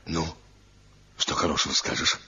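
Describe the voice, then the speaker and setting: An actor playing an anxious, pleading old village father in a radio drama, with a husky voice. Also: moderate pace, sharp tone, a little hiss or hum. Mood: wary, worried. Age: older. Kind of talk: Soviet radio drama acting. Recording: dull 1970 radio play recording.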